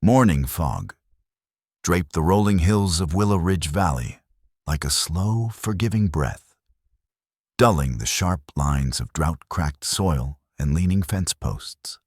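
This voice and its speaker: Very deep-voiced male Western storyteller. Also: lively intonation, takes it slowly, a little mellow, no room sound, studio quality. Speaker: storyteller, narrator. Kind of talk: scripted dramatic Western story narration.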